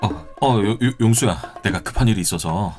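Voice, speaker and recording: A man's voice: on the low side, brisk, darkish tone, natural voice. A man playing a young male student protest leader, wary and hesitant, stammering around old friends, in a radio drama. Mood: evasive. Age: young adult. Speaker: student activist. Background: background music.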